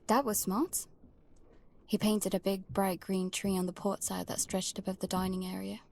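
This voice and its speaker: A woman plays a protective, wary young girl narrating a scripted audio drama. Her delivery is hushed and intimate, often close to a whisper. The pitch stays fairly even with some creak, over faint background noise. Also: moderate pace, natural voice. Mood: wistful.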